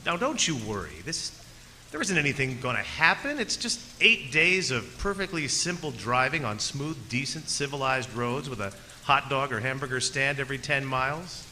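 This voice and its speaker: A man's voice: medium pitch, lively intonation, moderate pace, pushed and bright, a little hiss or hum. A man with an American accent playing an uneasy traveler narrating his drive in an old-time radio drama, earnest and dramatic. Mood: reassuring, cheerful. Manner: earnest, dramatic, hesitant repetitions. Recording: reverberant radio drama with prominent music.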